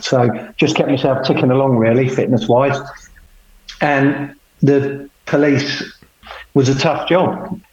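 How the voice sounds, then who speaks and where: A man's voice: mid-range voice, steady tone, slow pace, a little mellow. Middle-aged man on a call. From England. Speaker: podcast guest. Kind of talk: casual conversation, telling his life story. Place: at home. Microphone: remote call. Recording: roomy, dull remote call audio.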